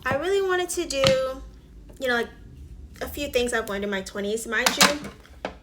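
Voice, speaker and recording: Young woman, mid-twenties, a touch high-voiced, chatty get-ready-with-me vlogger full of fillers and asides. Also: moderate pace, very noisy. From Alabama. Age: 20s. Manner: chatty, filler-heavy, drops to whispered asides. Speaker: beauty vlogger. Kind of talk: casual get-ready-with-me chat.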